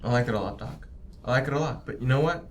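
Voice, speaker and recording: A man's voice: medium pitch, fast talker, slight background noise, natural voice. A man playing a bossy, condescending corporate agent in a tabletop roleplaying game. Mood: smug, commanding. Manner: domineering, condescending. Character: bossy, smug corporate company agent, male.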